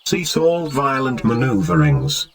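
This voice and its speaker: A man's voice: medium pitch, very animated, unhurried, over a noisy crowd. A man playing a rigid robot guard in an audio drama, speaking in stiff, formal, clipped commands. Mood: flat, commanding. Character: rigid, officious robot guard made of gears. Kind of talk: scripted audio drama acting.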